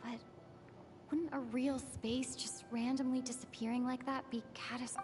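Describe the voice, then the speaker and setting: A woman playing an earnest, thoughtful young female adventurer in a video game, recounting things in a measured way. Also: high-pitched, little pitch movement, darkish tone, a little hiss or hum. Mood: pondering. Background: music.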